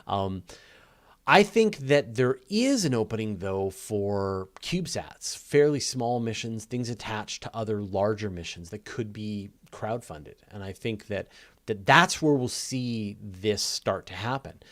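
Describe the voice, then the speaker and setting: A man's voice: on the low side, animated, a little slow. A man hosting a live question-and-answer show, explaining conversationally and emphatically in an off-the-cuff way, with repetition and everyday comparisons. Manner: conversational, emphatic, builds points through repetition and everyday analogies. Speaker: host of a live astronomy Q&A.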